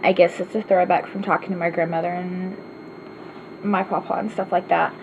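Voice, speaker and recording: A woman's voice: on the low side, level tone, moderate pace. A woman with an Arkansas accent, chatting casually and rambling in a self-deprecating way in a home vlog. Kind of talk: casual vlog answering questions. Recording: slightly dull home recording with faint hiss.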